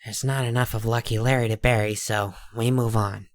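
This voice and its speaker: A man playing a cynical, hard-bitten dead man who narrates a comic dub in a husky, world-weary, folksy way. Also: fairly low pitch, steady tone, brisk, no room sound, lightly acted. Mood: dryly sardonic.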